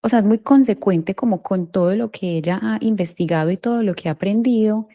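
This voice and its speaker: This is a Colombian woman interviewing a guest on a podcast. Her voice is mellow and relaxed, sitting at an ordinary pitch for a woman. She talks informally and effusively, as in a friendly conversation. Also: fairly quick.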